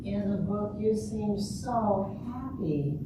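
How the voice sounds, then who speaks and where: In a very reverberant, noisy hall, far from the microphone, an older woman plays a wistful divorcée in a stage comedy reading. She is chatty and deliberate, taking her lines slowly. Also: mid-range voice, expressive. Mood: wistful, wry. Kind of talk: amateur readers' theater comedy scene.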